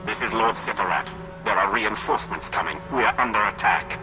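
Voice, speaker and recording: An actor playing a dutiful, grimly stoic Sith military lord in a video game, with formal, clipped delivery. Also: male voice, on the low side, expressive, a little fast, loud music behind the voice, natural voice. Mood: urgent. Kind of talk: video game cutscene acting.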